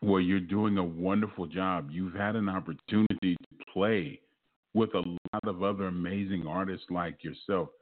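A man's voice: deep voice, fairly even intonation, normal speed, phone-quality sound, slight background noise. A man hosting an interview, admiring the guest and stumbling over his words. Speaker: radio show host.

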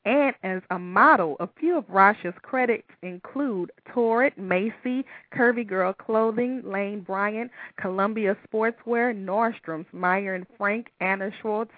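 A woman's voice: medium pitch, unhurried, hardly any pauses, no room sound. Woman hosting, muffled dry sound. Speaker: host. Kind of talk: talk show interview. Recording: muffled, slightly lo-fi, dry audio.

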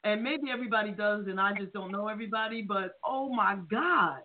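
A woman's voice: medium pitch, brisk, slight background noise. Gushing woman host on tinny audio. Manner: gushing, excitable, playful. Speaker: radio show host. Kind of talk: casual talk show chat.

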